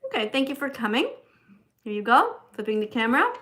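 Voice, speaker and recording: Bright-voiced woman hosting a livestream. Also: fairly high pitch, very animated, a little fast. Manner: chatty, reads viewer comments and thanks donors. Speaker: livestream host. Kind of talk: casual livestream chat.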